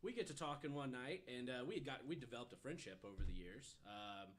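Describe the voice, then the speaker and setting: A man's voice: medium pitch, expressive, brisk, over a noisy crowd. A man telling a story conversationally on a podcast, often hedging and dropping in "you know". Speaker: podcast panelist.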